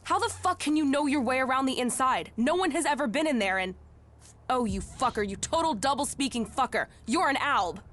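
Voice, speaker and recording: An actress playing a brash, foul-mouthed, bloodthirsty outlaw warrior in a video game, sneering and cocky. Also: female voice, high voice, expressive, fairly quick, a bit bright. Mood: angry, incredulous. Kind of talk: dramatic game dialogue.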